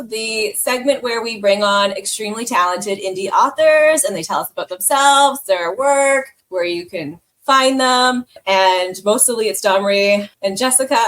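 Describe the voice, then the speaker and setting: A woman's voice: a little high, fairly bright tone. A woman hosting a podcast in casual chat, bubbly and laughing often. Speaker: podcast host.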